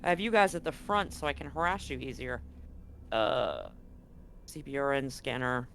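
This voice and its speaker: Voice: medium pitch, animated, moderate pace, a bit bright. Game master talking over background noise. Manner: informal, conversational, explanatory. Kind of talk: casual talk running a tabletop role-playing game. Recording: clearly noisy background.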